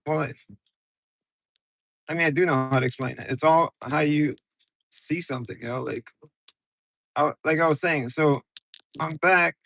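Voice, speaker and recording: Gravelly, slangy man streaming from car. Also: fairly high pitch, a bit bright, dry recording, rough recording. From Ukraine. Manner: loose and slangy, talks straight to viewers. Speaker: livestream host. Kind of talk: casual rambling livestream monologue. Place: inside his car. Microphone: phone.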